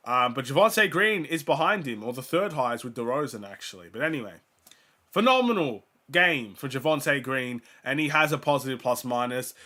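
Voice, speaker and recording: A man's voice: medium pitch, expressive, normal speed. A young man hosting a fan watchalong, giving casual play-by-play and stats while sick, his voice starting to go. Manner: rattles off stats, gets louder on big plays. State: sick, voice going. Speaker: fan host of a watchalong stream.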